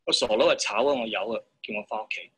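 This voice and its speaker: Male actor as injured witness, husky, grumbling, put-upon. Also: on the low side, quick pace, fairly bright tone. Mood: aggrieved. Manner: grumbling, complaining at length. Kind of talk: courtroom training video role-play.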